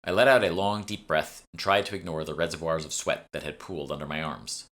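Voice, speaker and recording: Male narrator, low-pitched with a crisp forward edge, reading fantasy audiobook prose up close. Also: fairly even intonation, fairly quick, fairly bright tone. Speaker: author reading own audiobook. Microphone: close USB desktop microphone.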